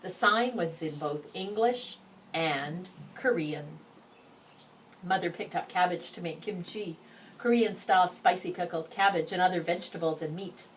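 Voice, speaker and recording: A slightly low-voiced woman reads a picture book aloud in an expressive storytelling style. She sits back from the microphone, with faint noise around her. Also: measured pace. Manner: expressive, acts out the dialogue. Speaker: story reader. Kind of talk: read-aloud of a children's picture book with brief asides. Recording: distant microphone, background noise.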